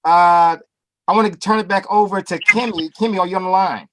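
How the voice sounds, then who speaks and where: A man's voice: sits high, fairly even intonation, a little fast. A man hosting a webinar over a video call, upbeat and hypey, repeating his phrases. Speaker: call host.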